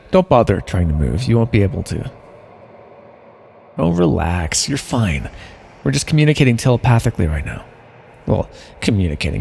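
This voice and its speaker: Male actor as theatrical mind-controlling supervillain, scheming, chatty, gravelly fry, lively intonation, ASMR roleplay monologue. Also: medium pitch, a little hiss or hum. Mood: smug, soothing. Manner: theatrical, rambling, addressing the listener directly.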